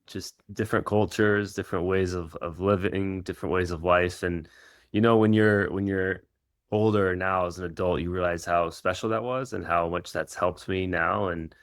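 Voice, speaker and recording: A middle-aged man who grew up in Israel, Kenya and the United States, answering interview questions in an informal, reflective, halting way as a coach. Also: low voice, fairly even intonation, no room sound, studio quality. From Israel and Kenya, United States from age 13. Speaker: professional soccer coach.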